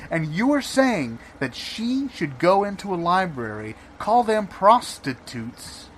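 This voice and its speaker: A man's voice: high-pitched, very animated, slow, fairly bright tone, a little hiss or hum, heavily acted. A man playing a blustering, exasperated debater in an improvised audio comedy, insistently repeating himself and cutting in. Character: blustering, overwrought male debater making absurd arguments.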